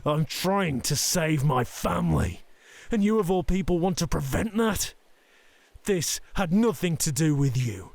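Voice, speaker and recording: A man's voice: a little high, animated, gravelly, darkish tone. A man playing a cruel, domineering middle-aged Yakuza boss and father in an audio drama, taunting and threatening. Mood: scornful fury. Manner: taunting, threatening, slow deliberate delivery. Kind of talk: ASMR roleplay audio drama acting.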